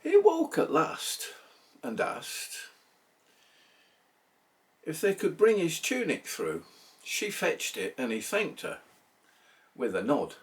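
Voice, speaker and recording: A man giving a theatrical, measured recitation of a narrative poem, pausing between phrases. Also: fairly high pitch, wildly swooping pitch, far from the mic. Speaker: poetry reader.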